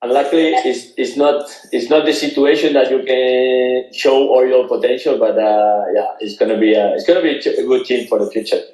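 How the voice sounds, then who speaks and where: Male host, native Spanish speaker with Spanish accent, halting conversational delivery, mid pitch, roomy recording. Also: steady tone, darkish tone. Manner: halting, repeats phrases. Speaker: podcast host interviewing an athlete. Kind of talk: casual chat. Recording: roomy, echoing sound.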